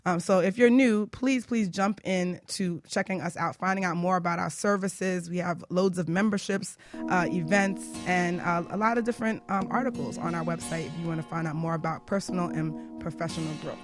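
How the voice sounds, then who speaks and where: A woman's voice: fairly low pitch, steady tone, moderate pace. A woman hosting a radio show in a casual, chatty, conversational way. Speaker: radio show host and life coach. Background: faint music.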